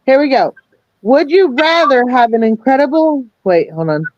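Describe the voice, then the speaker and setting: A woman's voice: high voice, expressive, normal speed. A woman chatting casually on a livestream, playfully reading out would-you-rather questions. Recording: dull lo-fi call audio.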